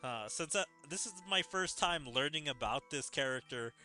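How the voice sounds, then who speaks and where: A man's voice: fairly high pitch, animated, normal speed, pushed and bright, soft background music. A man giving casual game commentary as a streamer, musing and speculating as he goes. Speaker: gaming streamer.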